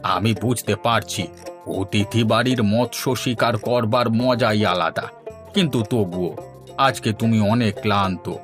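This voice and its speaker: A man's voice: fairly low pitch, unhurried, fairly bright tone. A man playing a genial, hospitable older village father-in-law in a cartoon folk tale, speaking in an indulgent, coaxing way. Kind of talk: Bengali animated folk-tale dialogue. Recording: music under the voice. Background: music.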